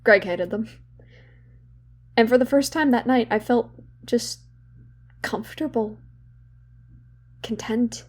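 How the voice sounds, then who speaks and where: An actress playing a young woman in therapy in an audio drama, bitter and regretful, confiding in a halting, sardonic, conversational way. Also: a little high, darkish tone. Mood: wistful. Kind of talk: naturalistic audio drama monologue.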